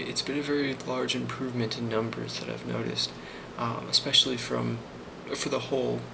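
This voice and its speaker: A man speaking softly in an ASMR role play as a boss, hesitant and reassuring, with frequent pauses. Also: mid-range voice, vocal fry, noisy background. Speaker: ASMR role-player playing a boss giving a review.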